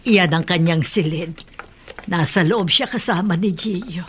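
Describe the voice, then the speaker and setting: An actress playing a concerned, gentle older family confidante in a Tagalog radio drama, hesitant. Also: female voice, on the low side, measured pace, loud music behind the voice, natural voice.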